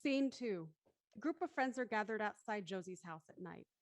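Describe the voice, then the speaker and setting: A woman's voice: mid-range voice, expressive, moderate pace, no room sound. A woman narrating a script and reading stage directions, with some casual host chat.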